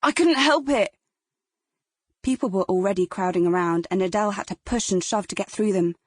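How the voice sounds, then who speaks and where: A woman's voice: on the low side, steady tone, quick pace, a little mellow, no echo. A woman with a British accent narrating dramatic fiction as a scripted audiobook.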